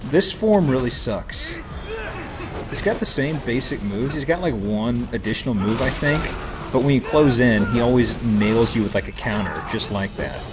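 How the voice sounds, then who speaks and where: A man hosts a let's play in a casual, wry way, swearing now and then. His mid-pitched voice sits over clearly audible game music. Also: expressive, normal speed. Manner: profane, wry. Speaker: let's play host and player.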